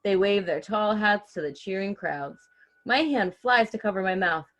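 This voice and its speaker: A woman's voice: medium pitch, lively intonation, moderate pace. A woman reading a children's story aloud expressively and chatting casually as host.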